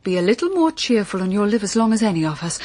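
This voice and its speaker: A middle-aged English mother in a period audio drama. She is spirited and encouraging, her slightly rough voice moving in lively swings. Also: medium pitch, fast talker. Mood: cheerful, reassuring.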